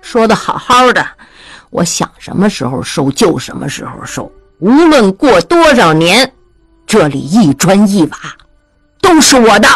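A woman's voice: on the high side, expressive, a little slow, no echo, soft background music. A woman voicing a spiteful, self-pitying old widow who wails her grievances. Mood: shaky, haughty. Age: older. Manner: whining, wailing complaint.

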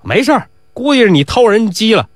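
A man voicing a shifty gambling-den doorman with a hoarse voice, brazen and fawning, in a comic storytelling performance. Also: high-pitched, sing-song, a little fast, no room sound, studio quality, voice pushed up, exaggerated voice. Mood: sly. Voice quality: hoarse from shouting.

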